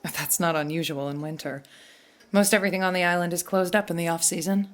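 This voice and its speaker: A woman's voice: on the low side, fairly even intonation, a little fast, natural voice. An actress playing a practical, kindly guesthouse keeper and mother in an audio drama, with matter-of-fact, obliging, naturalistic delivery. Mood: businesslike. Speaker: guesthouse landlady.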